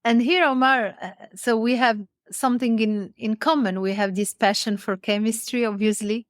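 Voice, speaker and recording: A woman from Morocco hosting a podcast, interviewing conversationally in a halting, discursive and affirming way. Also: a little high, fairly even intonation, no room sound, studio quality. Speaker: podcast host.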